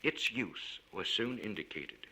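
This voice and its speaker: A man reading a literary story aloud in a measured, formal and expressive way. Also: on the low side, slightly rough. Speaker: audiobook narrator.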